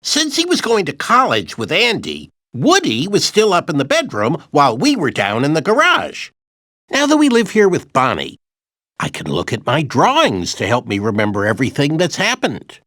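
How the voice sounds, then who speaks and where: A man's voice: on the high side, wildly swooping pitch, moderate pace, pushed and bright, no echo, studio quality, heavily acted. A man voicing an anxious, childlike toy dinosaur in a video game, earnestly retelling adventures with dramatic emphasis. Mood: earnest recounting. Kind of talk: animated video game storytelling narration.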